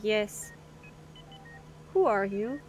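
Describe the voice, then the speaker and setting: A woman's voice: medium pitch, animated, very slow, rough recording. A woman playing a frank, rueful cargo captain in an audio drama, talking in a matter-of-fact way. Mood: dazed.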